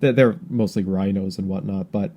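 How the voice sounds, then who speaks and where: A man hosting a podcast with casual political commentary, talking in a halting, rambling way with stammered repeats. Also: sits low, fairly even intonation, mellow. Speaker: podcast host.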